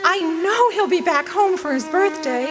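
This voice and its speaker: A woman's voice: extremely high pitch, lively intonation, normal speed, loud music behind the voice. A woman acting in a radio drama as a hopeful, fragile young sweetheart, earnest and pleading. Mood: desperate hope.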